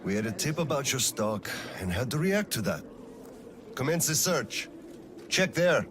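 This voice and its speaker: An actor playing a weary, principled middle-aged colonel and gentle father in a video game, speaking in a measured, firm way. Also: medium pitch, big pitch swings, background noise, natural voice. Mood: firm, authoritative. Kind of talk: cinematic game dialogue acting.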